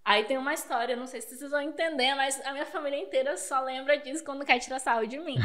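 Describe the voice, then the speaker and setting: Woman, high voice, casual podcast guest, storytelling, laughs often. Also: fairly quick, a bit bright. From Paraguay border region.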